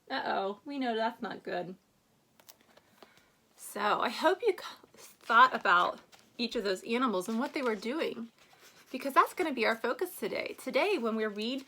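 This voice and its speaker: A woman teacher giving a reading lesson to young children, prompting them with questions and reading aloud with expressive emphasis. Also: a little high. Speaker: first-grade teacher.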